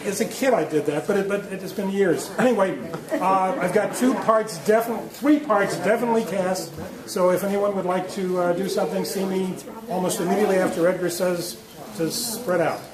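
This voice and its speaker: A man's voice: high voice, a little fast. A man making an informal announcement to a group, hesitant and drily self-deprecating. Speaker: club member directing a script. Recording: echoey meeting room, crowd murmur.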